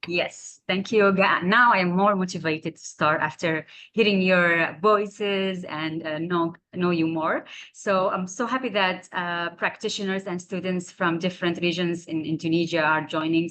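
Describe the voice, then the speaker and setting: Woman, 30s, from Syria, native Syriac, lower voice, video call, earnest explanatory talk. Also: unhurried, a bit bright, slight background noise. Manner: earnest, explanatory, searching for words. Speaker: guest speaker. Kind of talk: online presentation to students.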